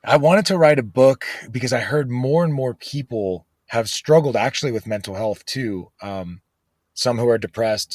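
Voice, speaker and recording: A man's voice: medium pitch, no echo. A man being interviewed as a guest author, talking casually and earnestly with many fillers like "you know".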